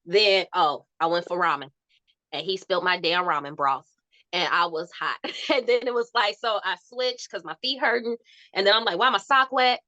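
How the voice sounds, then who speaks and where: A young woman with a soft voice telling stories in a candid podcast chat, acting out quotes as she goes. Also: mid-range voice, moderate pace, no room sound, dull audio. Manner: animated storytelling with laughs and acted-out quotes.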